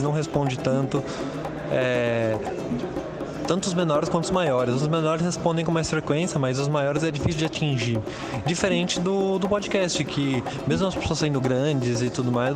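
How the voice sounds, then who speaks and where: A Brazilian man giving a casual, spontaneous monologue, halting and correcting himself as he goes. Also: mid-range voice. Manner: informal, halting, self-correcting. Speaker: podcaster. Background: prominent music.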